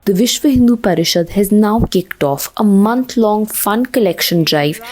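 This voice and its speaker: A woman's voice: mid-range voice, animated, very slow, a little mellow. A woman with an Indian accent reading scripted narration as the reporter of a news video.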